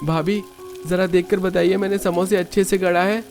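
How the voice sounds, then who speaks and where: A young village man from a family cartoon, with a very high voice for a male. Faint music runs under the speech. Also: flat delivery, moderate pace, darkish tone. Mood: helpful. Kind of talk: Hindi moral-story cartoon dub. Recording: music behind the voice.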